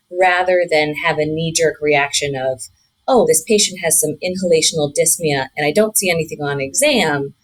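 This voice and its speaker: A woman speaking as a physician researcher in a podcast interview, explaining findings in a measured, articulate way with clinical terms. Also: sits low, breathy edge, low-quality recording.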